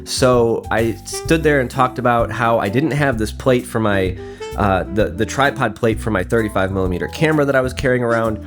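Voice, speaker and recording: A man's voice: mid-range voice, a little fast. Nasal, sniffly man rambling over guitar music. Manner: rambling, self-deprecating. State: congested from a cold. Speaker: photography vlogger. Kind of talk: casual vlog voiceover. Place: voiceover recorded indoors.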